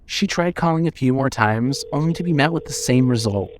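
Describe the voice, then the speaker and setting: A man's voice: mid-range voice, fairly quick, crowd noise. A man narrating a scripted story as the narrator of an audio drama.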